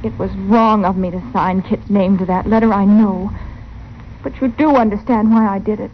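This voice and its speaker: An actress playing an earnest, anxious frontier wife in an old radio western. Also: female voice, medium pitch, steady tone, moderate pace, natural voice. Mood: confessing, pleading. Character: worried, earnest frontier wife pleading for help. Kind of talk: 1950s radio western acting. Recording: muffled, hissy old radio recording.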